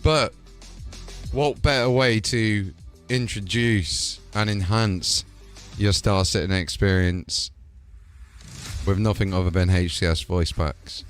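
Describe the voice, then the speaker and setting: A man with a fairly low voice hosts an enthusiastic showcase, talking in a hyped, rambling way with filler words. Faint music plays behind him. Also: slow, long pauses. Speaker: host.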